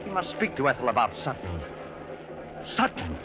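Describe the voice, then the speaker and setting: An actor playing a suspenseful storyteller, delivering dramatic scripted narration in a 1940s radio style. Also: male voice, mid-range voice, wildly swooping pitch, normal speed. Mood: ominous. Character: suspenseful male storyteller voicing uneasy thoughts. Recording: muffled old radio broadcast. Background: loud dramatic music.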